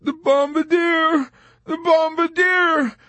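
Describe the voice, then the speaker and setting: A man's voice: very high voice, steady tone, slow, bright, forceful tone, dry recording, pitched above the performer's own voice, exaggerated voice. A man voicing a hysterical young male pilot in an audiobook, weeping and pleading desperately. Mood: sobbing, begging. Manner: sobbing, pleading.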